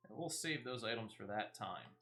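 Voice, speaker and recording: A man's voice: on the low side, expressive, moderate pace, pushed and bright. A man giving casual, playful gameplay commentary as he streams. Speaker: game streamer. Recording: music playing behind the voice. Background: game music.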